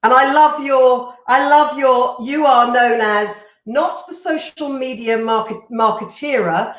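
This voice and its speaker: A woman co-hosts a live stream, chatty and animated and quick to laugh. Her voice sits a little high. The sound has a roomy echo around it. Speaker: co-host. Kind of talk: casual live-stream chat.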